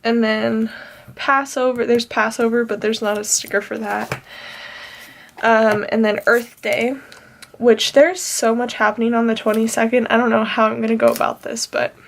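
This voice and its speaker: Chatty young woman, nasal and gravelly. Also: fairly high pitch, level tone, moderate pace. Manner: chatty, rambling with fillers. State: nasal from allergies. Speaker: planner vlogger. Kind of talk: casual plan-with-me chat.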